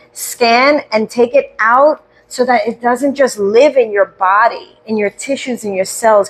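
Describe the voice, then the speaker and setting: A woman leading a guided breathing meditation, speaking slowly and soothingly with gentle questions and audible sighs. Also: fairly high pitch, slight background noise, low-quality recording.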